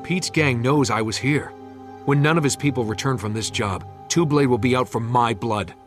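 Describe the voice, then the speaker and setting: An actor playing a determined, loyal young streetwise hero in a video game, with a husky voice. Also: male voice, mid-range voice, normal speed, natural voice. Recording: music behind the voice.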